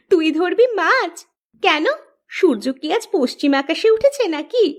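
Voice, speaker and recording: A woman plays a teasing little village girl from a kids' cartoon. The voice is very high, with a mocking, quick-tempered way of bantering. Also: expressive, exaggerated voice. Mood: teasing, scornful. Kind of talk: children's cartoon dialogue.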